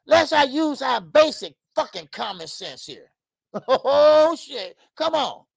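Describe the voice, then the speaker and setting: A man in his fifties hosting a live boxing talk stream, loud and profane, rambling and greeting viewers by name. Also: extremely high pitch, very animated, slow pace, gravelly, no echo, studio quality. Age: 50s. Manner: frequent laughs and stretches of filler. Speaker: boxing show host. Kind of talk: live-stream commentary chat.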